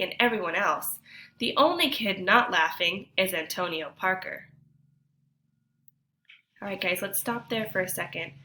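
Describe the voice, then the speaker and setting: A woman teacher reading a picture book aloud to children, expressive and deliberate, pausing to put questions to her young listeners. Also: lowish voice, slight background noise. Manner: addresses children directly, deliberate and animated.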